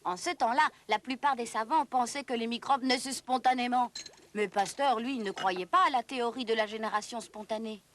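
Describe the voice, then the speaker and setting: A woman's voice: on the high side, normal speed, slight background noise. A woman giving scripted, didactic storytelling narration for an educational history cartoon.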